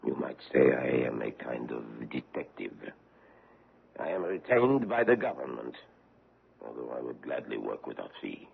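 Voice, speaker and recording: Casting: sinister European government hunter, rock-bottom husky voice, formal and insinuating, old muffled radio drama. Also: male voice, a bass voice, big pitch swings, moderate pace, exaggerated voice. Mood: sly, probing. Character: menacing foreign government investigator hunting a woman from his homeland. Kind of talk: radio mystery drama acting. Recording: muffled narrow-band old radio broadcast.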